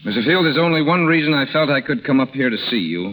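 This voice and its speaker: Actor as a blunt, quick-spoken 1930s radio suitor. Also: male voice, mid-range voice, lively intonation, fairly bright tone, close to an everyday voice. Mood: earnest, resolute. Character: blunt, earnest male rival in love. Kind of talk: 1930s radio drama acting. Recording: noisy 1930s radio broadcast.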